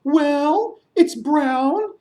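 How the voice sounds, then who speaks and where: A man's voice: very high-pitched, animated, very slow, mellow, roomy sound, voice pushed up, heavily acted. A man voicing an eager, bouncy female bat puppet in a children's story show. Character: eager, high-pitched female bat puppet offering a potato.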